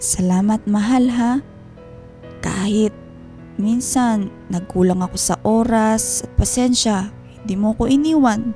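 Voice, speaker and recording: An actress playing a tender, wounded wife and mother in a Filipino radio drama, speaking in an earnest, measured way. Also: on the high side, dark tone, faint music behind, natural voice. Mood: tender, reflective. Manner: earnest, heartfelt, measured delivery.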